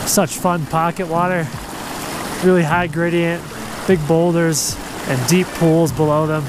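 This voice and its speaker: A man giving casual, relaxed and appreciative commentary as a fly fishing vlogger outdoors. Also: fairly high pitch, unhurried, background noise. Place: outdoors by a rocky mountain stream. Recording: music over voice. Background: music, running stream water.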